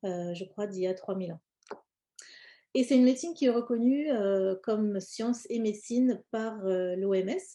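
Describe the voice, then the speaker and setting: A woman presents in an informal, explanatory way. Her voice is soft-edged and mellow at a medium pitch. She often hesitates and pauses partway through her phrases. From born in India, grew up in France. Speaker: presenter, cosmetics brand founder.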